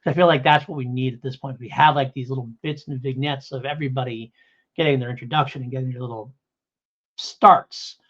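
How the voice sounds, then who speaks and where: A middle-aged man chats as the host of a review podcast. His voice is husky and mid-pitched, and he rambles casually, correcting himself along the way. The audio is a little dull and lo-fi. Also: steady tone, fairly quick, fairly bright tone.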